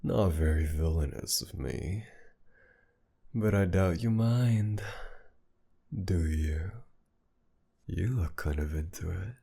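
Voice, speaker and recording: Casting: male actor for flirty villain captor, ASMR audio drama. Dark, mellow tone, husky and breathy, possessive, teasing delivery. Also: heavily acted. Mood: teasing, seductive. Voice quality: low, gravelly, breathy. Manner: slow teasing drawl, often dropping to a whisper. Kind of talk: intimate ASMR roleplay acting.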